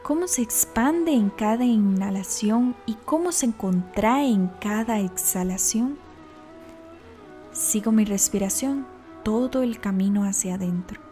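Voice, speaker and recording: A woman narrates a guided meditation. Her timbre is dark and relaxed, at a middling pitch for a woman. She gives scripted instructions in a calm, deliberate way, with faint music behind her.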